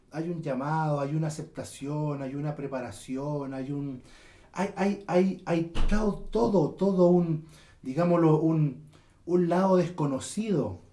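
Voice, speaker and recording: A man's voice: on the high side, warm tone. A man hosting a church interview, speaking with many fillers and restarts. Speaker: interview host. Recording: echoey room.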